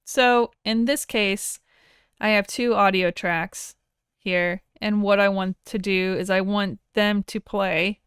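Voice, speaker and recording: Woman, plain-spoken instructor, informal explanatory narration, exceptionally smooth and clear, very dry close sound. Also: medium pitch, fairly even intonation, takes it slowly, a bit bright, dry recording. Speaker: audio software instructor. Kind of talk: informal screen tutorial narration.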